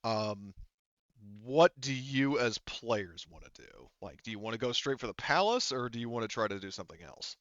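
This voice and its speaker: A man working as game master, narrating a tabletop game in a casual, halting, conversational way. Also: medium pitch, very animated, fairly bright tone, dry recording. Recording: slightly dull close dry mic.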